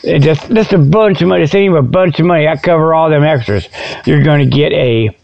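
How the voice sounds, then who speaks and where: Folksy gravelly man, rambling, lo-fi sound. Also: fairly high pitch, a little fast. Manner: folksy, informal, repeatedly tags phrases with "okay". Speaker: host. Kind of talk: rambling comic radio monologue. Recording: dull lo-fi radio sound.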